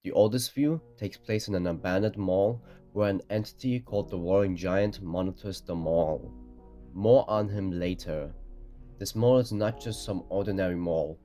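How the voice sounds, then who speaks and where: A man with a fairly deep voice delivers scripted explainer narration. He lays things out in an orderly, matter-of-fact way. Soft background music runs beneath his words. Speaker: narrator of a ranking video.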